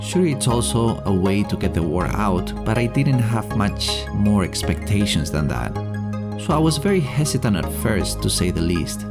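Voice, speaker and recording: A middle-aged man reads a scripted vlog in a fairly low voice. His intonation moves around in a lively way, though his manner stays low-key and sincere. Prominent music plays behind him. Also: moderate pace. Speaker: indie game developer and devlog host. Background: background music.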